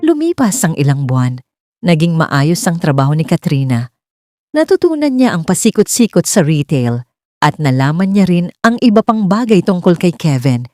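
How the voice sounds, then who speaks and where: A woman's voice: lowish voice, sing-song, moderate pace, darkish tone, dry recording, clean studio sound, faint music behind. A woman reading a scripted romance story as its narrator.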